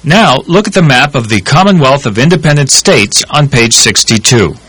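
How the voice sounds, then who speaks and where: A man narrating a language course in English, giving measured, clear instructions. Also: mid-range voice, lively intonation, pushed and bright, no echo, rough recording.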